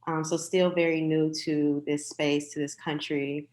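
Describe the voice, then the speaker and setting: A woman's voice: deep voice, level tone, unhurried, a little mellow. An American woman in her thirties giving a polished but informal introduction of herself as a panelist. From Detroit, United States. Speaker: panelist, entrepreneur. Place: online video call.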